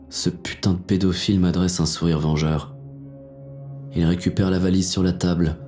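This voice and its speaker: An actor playing a hard-boiled, bitter police inspector who narrates a thriller in terse, intense first person. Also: male voice, low voice, warm tone, natural voice. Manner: gritty, terse, intense delivery.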